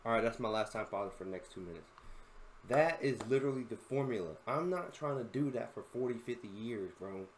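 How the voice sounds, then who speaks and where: A man's voice: medium pitch, lively intonation, a little fast, slight background noise. Slangy young man saying bro a lot. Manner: slangy, says "bro" constantly, rambling and earnest. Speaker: content creator reacting to a video. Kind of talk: casual reaction commentary.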